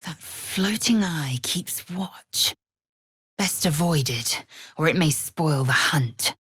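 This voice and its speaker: A woman's voice: low-pitched, animated, takes it slowly, a bit bright, slightly rough, no echo, studio quality, heavily acted. A woman voicing a fierce, haughty alien warrior in a fantasy video game, with an imperious, clipped, solemn delivery. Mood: grave, observant.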